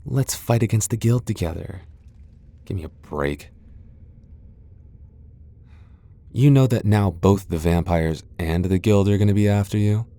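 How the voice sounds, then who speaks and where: A man's voice: on the low side, normal speed, warm tone, natural voice. A male voice actor playing a proud tsundere vampire lord in an ASMR boyfriend roleplay, haughty and defensive, stammering when flustered. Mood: wary, resigned.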